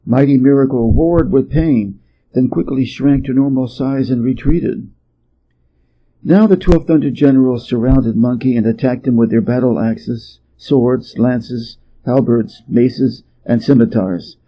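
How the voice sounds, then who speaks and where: A man from Hawaii reading a storybook tale as an expressive narrator. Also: mid-range voice, unhurried, breathy edge, dull audio. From Hawaii, United States.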